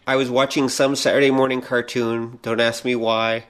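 A man's voice: mid-range voice, level tone, normal speed, a bit bright, clean tone. A man co-hosting a podcast in a casual, conversational style. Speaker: podcast co-host. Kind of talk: casual chat, posing questions.

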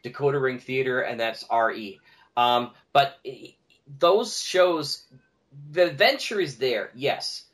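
A man's voice: medium pitch, slow pace, fairly bright tone. Chatty, enthusiastic man. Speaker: podcast guest, audio drama producer. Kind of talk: casual podcast conversation.

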